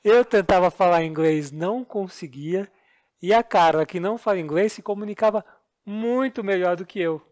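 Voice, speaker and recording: A man's voice: high-pitched, moderate pace, a little mellow. A Brazilian man with a Brazilian Portuguese accent, co-hosting a podcast and telling travel stories in an earnest, explanatory, self-deprecating way. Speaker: podcast co-host. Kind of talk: casual storytelling chat.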